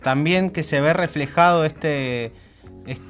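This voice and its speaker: Guy hosting radio chat, kinda halting and tentative, casual, guitar music in the back. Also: a little high, fairly bright tone. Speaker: radio show host. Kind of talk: casual conversational interviewing. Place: radio studio.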